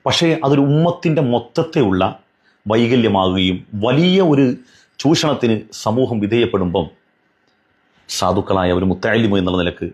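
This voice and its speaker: A man giving an admonishing religious commentary, earnest and pleading, driving points home with repetition and rhetorical questions. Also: on the low side.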